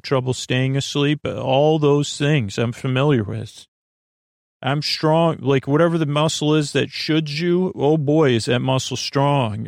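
A man with a gravelly, creaky voice talks as a podcast host in a loose bedtime monologue. His pitch sits in the middle of the male range, and the sound is close and dry. He meanders, stops short and restarts as he wanders into side thoughts. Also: dry recording. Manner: meandering, halting, self-interrupting with trailing tangents. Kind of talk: rambling, informal bedtime monologue.

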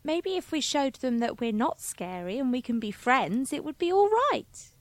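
Woman playing a high-voiced, curious little schoolgirl. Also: sing-song, normal speed, no room sound. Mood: thoughtful, earnest. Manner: inquisitive, naive, childlike phrasing. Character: curious, earnest young schoolgirl questioning her mother at bedtime. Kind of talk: fantasy audio drama dialogue.

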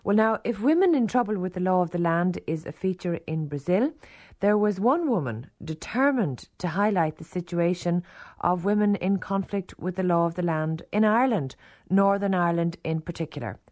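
A woman reporting the news in a measured, formal way. Her voice sits a little low and sounds warm and mellow. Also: lively intonation. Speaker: radio reporter. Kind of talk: news report narration and interviewing.

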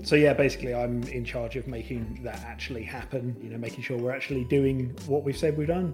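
A man who is a guest on a podcast, talking about his work in a relaxed, matter-of-fact way. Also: mid-range voice. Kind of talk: casual interview.

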